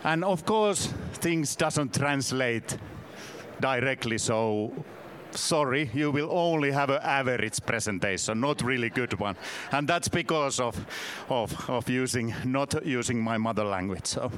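A man from Finland in his fifties with a Finnish accent, giving a halting, self-deprecating conference talk in a second language. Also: mid-range voice, expressive, a bit bright, slight background noise. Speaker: conference speaker. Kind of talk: conference presentation. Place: conference session room.

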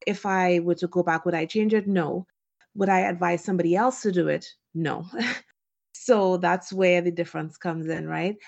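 Low, creaky woman from Trinidad and Tobago. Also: fairly even intonation, normal speed, a little mellow. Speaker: physician guest on a podcast. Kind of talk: conversational interview answers. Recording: dull, lo-fi call audio.

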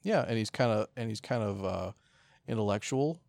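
A man's voice: fairly low pitch, lively intonation, normal speed, creaky, a little mellow, dry recording. A man co-hosting a podcast in casual, sweary conversation. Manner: profane. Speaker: podcast co-host. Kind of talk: casual chat.

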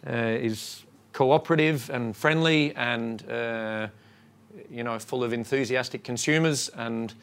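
A man with an Australian accent, a brewery co-owner giving a relaxed, halting talk to a crowd. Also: mid-range voice, smooth. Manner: relaxed and halting, lots of ums. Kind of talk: casual talk to a shop crowd.

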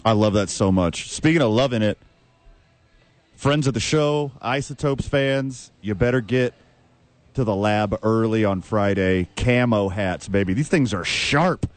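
A man with a Texan accent hosting a sports radio show, talking with loud, hype-man enthusiasm. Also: fairly low pitch, unhurried, no room sound. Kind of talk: casual sports radio chat.

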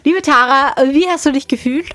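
A woman's voice: sits high, normal speed, sharp tone. A woman hosting a conversational interview in a radio studio. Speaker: radio show host.

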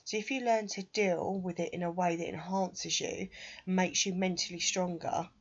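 A woman hosting a solo podcast while nursing a cold, so her voice comes out nasal and a little husky. She talks in a chatty, self-mocking way, a touch low for a woman, over a dull, lo-fi recording. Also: fairly even intonation, moderate pace. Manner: chatty, laughs at herself. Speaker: podcast host. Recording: dull lo-fi sound.

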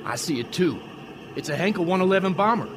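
Actor as a high-voiced, animated American soldier. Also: male voice, fast, music in the background. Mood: tense. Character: young American WW2 soldier, alert and wary. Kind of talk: radio drama acting. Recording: faint background noise.

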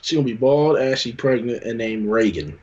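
A man's voice: medium pitch, lively intonation, a little fast, darkish tone, dull audio, a little hiss or hum. A man co-hosting a podcast, teasing the others in casual banter with mock-formal questions. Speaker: podcast co-host.

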